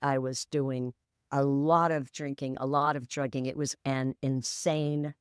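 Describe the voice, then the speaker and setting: Low, smooth-voiced woman in her sixties. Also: level tone, measured pace, no room sound, clean studio sound. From San Francisco, USA. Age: 60s. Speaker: podcast guest. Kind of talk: reflective storytelling interview.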